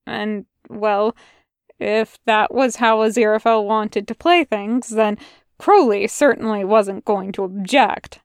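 A woman's voice: medium pitch, steady tone, slow, clear, resonant tone, dry recording, studio quality. Woman reading fan fiction aloud. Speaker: podfic reader. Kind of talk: fan fiction audiobook narration.

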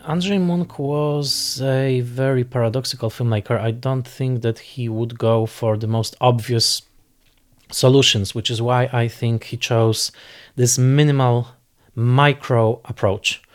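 A man speaking off the cuff as a film critic in an interview, analysing in a deliberate, emphatic way and searching for precise words. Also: medium pitch, fairly even intonation. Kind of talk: unscripted analytical interview commentary.

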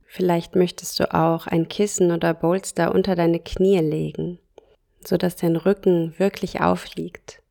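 A woman leads a guided yoga nidra meditation in a soft, calm voice. She speaks very slowly, leaving long pauses between short phrases, in a fairly low register. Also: gravelly.

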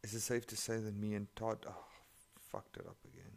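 A man's voice: on the low side, fairly even intonation, normal speed, vocal fry. A man, not an English speaker, reading online stories aloud and breaking in with sarcastic, profane commentary. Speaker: story reader.

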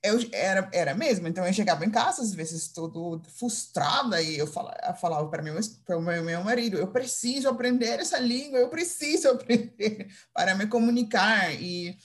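A woman from Curaçao with a Dutch accent, a teacher being interviewed, speaking haltingly with many fillers and restarts. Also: mid-range voice, expressive. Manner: hesitant, searching for words with many fillers and restarts. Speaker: English teacher, interview guest. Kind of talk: casual interview answers.

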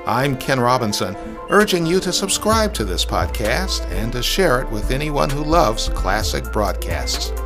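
A man's voice: medium pitch, sing-song, measured pace, music in the background. A man hosting a podcast, reading an upbeat advertisement and signing off. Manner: upbeat, emphatic sales delivery. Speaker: podcast host. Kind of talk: read advertisement and sign-off.

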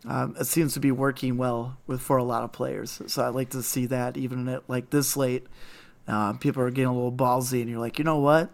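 Raspy, hoarse male co-host, monotone, rambling casual delivery. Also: medium pitch, brisk. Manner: rambling, many fillers. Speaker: podcast co-host. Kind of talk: casual card game podcast talk.

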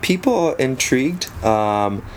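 A man in his thirties with a South African accent, a native Afrikaans speaker, talking casually as an interview guest with relaxed pauses and frequent ums. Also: mid-range voice, slight background noise. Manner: relaxed, frequent ums, self-deprecating humor. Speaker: guest, photographer.

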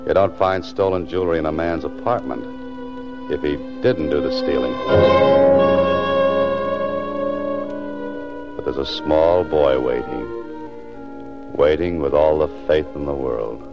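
An actor playing a world-weary, wry writer turned amateur sleuth in a 1940s radio drama, often talking to himself as narrator. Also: male voice, very deep voice, moderate pace. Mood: wry, resolute. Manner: wry, self-addressing narration. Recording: muffled 1940s radio recording with music.

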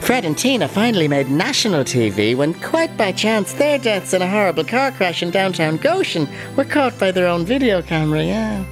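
Radio comedy horror: amiable, tipsy old Irish puka rabbit, rambling and repeating himself, very lively intonation. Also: male voice, high-pitched, normal speed, few pauses, over music, poor audio. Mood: wry storytelling. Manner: hesitant, rambling, repeats words. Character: tipsy, amiable old man turned giant rabbit spirit. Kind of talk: comic horror radio play acting.

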